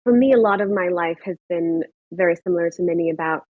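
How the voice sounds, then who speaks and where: A woman's voice: on the low side, quick pace, dry recording. A woman from Pakistan, a company founder, answering interview questions in an earnest, reflective way. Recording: dry, lo-fi remote audio.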